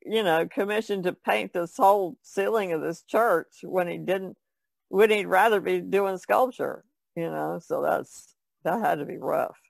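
A woman's voice: lowish voice, a little slow, vocal fry, dry recording. A woman chatting casually as a co-host on a podcast. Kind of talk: casual chat.

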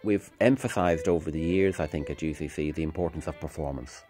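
A middle-aged man talks in a very low voice, reflective and a little halting, as in a documentary interview. Fiddle music plays behind him. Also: mellow. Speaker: university music academic.

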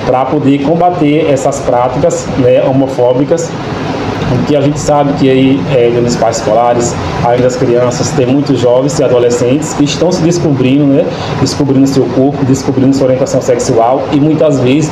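Halting man in an echoey noisy room. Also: mid-range voice. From Rio Grande do Norte, Brazil. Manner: halting, frequent "né" tags. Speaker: teacher. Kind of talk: self-recorded testimony. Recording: echoey room, loud background noise.

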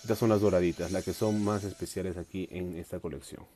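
A man's voice: low-pitched, fairly even intonation, quick pace, mellow, faint music behind. A man hosting a live unboxing stream from a tabletop, chatty and upbeat, reading viewer comments aloud. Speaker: collector livestream host. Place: indoors at a table.

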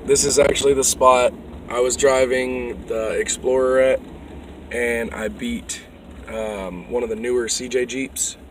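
A young man talks into a phone held at arm's length in a moving SUV. His voice is going hoarse, and his talk is loose, joking and full of swearing. Faint road noise runs underneath. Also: mid-range voice, fairly even intonation, measured pace. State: losing his voice. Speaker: vlogger. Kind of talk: casual car vlog.

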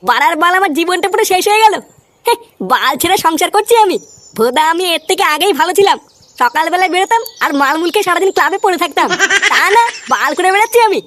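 A rebellious cartoon lad who feels used and says so loudly. The voice is extremely high and squeaky, rattling along quickly in sweary rants. There is faint noise behind it. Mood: fed up. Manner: ranting, swearing constantly. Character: foul-mouthed, rebellious, put-upon boy Futo, loudly griping. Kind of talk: comedy cartoon dialogue.

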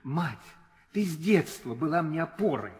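An actor playing a dutiful, steadfast young prince in an old theatrical audio drama, speaking in solemn declamation. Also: male voice, fairly high pitch, sing-song, moderate pace, darkish tone. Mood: solemn resolve. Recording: old radio play, hall reverb.

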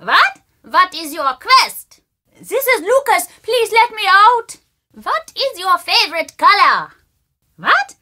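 A woman's voice: extremely high pitch, very animated, slow, sharp tone, no room sound, full character voice. A woman voicing a mischievous, playful young boy in a video game, with a cheeky, childlike way of talking. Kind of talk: video game character acting.

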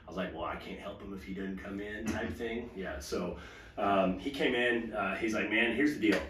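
A man's voice: on the low side, moderate pace, fairly bright tone. A man, a chiropractor talking casually and joking while treating a patient in a small clinic room. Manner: easygoing, joking, explains while working. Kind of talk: casual clinic video talk. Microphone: camera mic from across the room. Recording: roomy, distant camera sound, faint noise.

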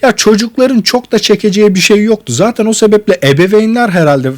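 A middle-aged man hosts with a slightly high voice and a very quick pace. He gives wordy, colloquial commentary in a nostalgic, conversational style, dropping in English loanwords. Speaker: host of a retro film nostalgia show.

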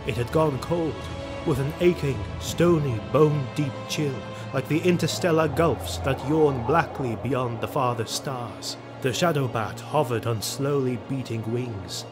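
Male narrator, fantasy audio drama: grave, theatrical storytelling, music underneath. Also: medium pitch. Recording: music behind the voice.

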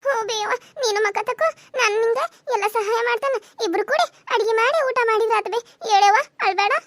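A caring little child acted by a woman in a family melodrama. The voice is extremely high and fast, pleading softheartedly in a North Karnataka accent. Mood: consoling. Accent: North Karnataka Kannada. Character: caring young child soothing a distressed mother.

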